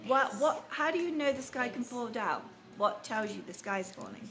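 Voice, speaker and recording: A woman's voice: a little high, expressive, normal speed, fairly bright tone. A woman reading a storybook aloud and questioning young children in a teacherly way, repeating answers back. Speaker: read-aloud storyteller leading a children's class. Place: classroom or school room with children. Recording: noisy live room with background noise.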